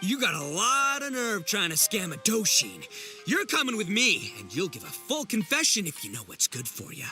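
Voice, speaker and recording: A man's voice: high voice, huge pitch swings, moderate pace, bright, forceful tone, soft background music, heavily acted. An actor playing a brash, gullible, overconfident young oni man in a video game, with boastful, theatrical, slangy delivery. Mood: triumphant accusing. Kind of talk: animated video game voice acting.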